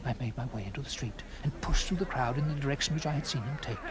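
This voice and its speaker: A man narrating a gothic story in the first person, with theatrical, deliberate phrasing and dramatic pauses. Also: medium pitch.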